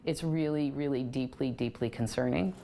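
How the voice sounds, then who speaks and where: A woman's voice: very deep voice, moderate pace, gravelly, dark tone, slight background noise. An American woman from a nonprofit giving serious, emphatic interview answers. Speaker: nonprofit official being interviewed.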